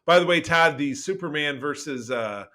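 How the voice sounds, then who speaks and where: A man's voice: fairly high pitch, normal speed, bright, forceful tone. A man hosting a podcast in casual conversation, talking in an emphatic, rambling, opinionated way. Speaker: podcast host.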